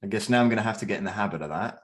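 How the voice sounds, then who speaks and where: A man's voice: lowish voice, rapid-fire. A man of twenty-one giving casual interview answers, hesitant and rambling with many restarts.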